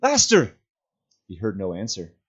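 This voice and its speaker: A man's voice: fairly low pitch, normal speed, darkish tone, highs cut off. A man reading a fantasy novel aloud as a storyteller, a volunteer reader narrating.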